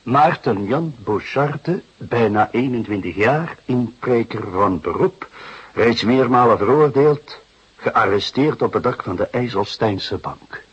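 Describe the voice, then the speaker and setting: An actor playing a patient, fatherly, wry police detective in a Dutch radio play, speaking in deliberate, musing phrases. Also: mid-range voice, expressive, husky, exaggerated voice. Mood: patient, grave. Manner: deliberate, hesitant musing with repeated assents. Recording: muffled radio drama recording.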